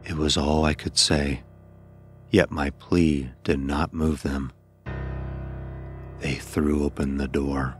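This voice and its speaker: A man narrating a scripted first-person horror story in a grave, deliberate storytelling manner. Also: extremely low pitch, level tone, faint music behind.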